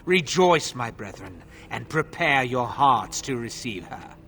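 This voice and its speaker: A solemn older village priest in a fantasy video game. He speaks in a formal, measured, sanctimonious way, at a middling pitch, over noisy game ambience. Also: sing-song, bright, forceful tone, exaggerated voice. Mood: fervent, exalted. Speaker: preacher. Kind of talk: scripted fantasy game acting.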